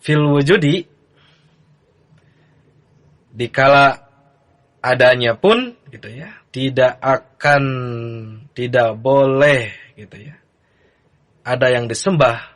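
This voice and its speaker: A man teaching a religious text to beginners in a relaxed, easygoing manner. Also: mid-range voice, slow pace, pauses often. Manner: relaxed, chanting the text lines in a sung recitation. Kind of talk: kitab reading lesson.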